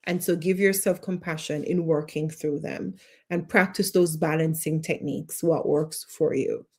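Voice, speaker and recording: A woman coach, leading a live online class and encouraging her viewers warmly. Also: fairly low pitch. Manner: encouraging, repeats short questions, reads out viewer comments. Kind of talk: live-streamed class, talking to viewers in chat.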